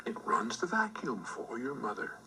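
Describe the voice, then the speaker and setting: A man reading a children's book aloud in theatrical, deliberate scripted narration. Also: medium pitch, very animated, creaky, heavy background noise.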